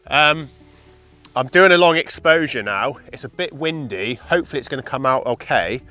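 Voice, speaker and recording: Croaky-voiced man vlogging outdoors. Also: mid-range voice, normal speed, faint music behind. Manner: rambling, relaxed, many ums and kind ofs. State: croaky voice from the flu. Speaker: landscape photography vlogger. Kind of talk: casual vlog talk to camera. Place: outdoors on open moorland. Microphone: camera held at arm's length.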